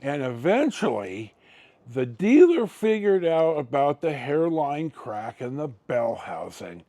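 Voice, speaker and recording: An American man in his sixties telling a personal story casually, in a halting, colloquial, conversational way. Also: a little high, big pitch swings, warm tone. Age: 60s. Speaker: storyteller, vlog host.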